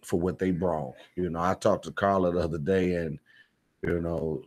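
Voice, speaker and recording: Man, American accent, low-pitched voice, casual interview talk, slangy, repeats himself for emphasis. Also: fairly even intonation, normal speed, darkish tone. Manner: emphatic repetition, slangy, plain-spoken. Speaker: stage playwright and director, radio guest.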